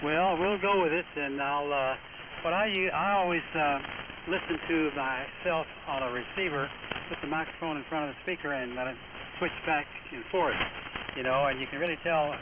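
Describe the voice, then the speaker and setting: A narrow shortwave radio signal with clear static carries a fairly high-voiced man. He runs an amateur radio net as host, chatting casually and in no hurry. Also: normal speed. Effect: single-sideband radio. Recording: noisy, narrow-band shortwave radio transmission.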